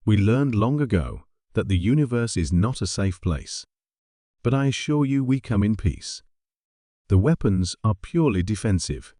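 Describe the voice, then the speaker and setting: A man's voice: on the low side, expressive, moderate pace, mellow, dry recording, clean studio sound, natural voice. A man voicing a confident, dryly amused female warship captain, polite and understated, in a story reading. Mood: assured.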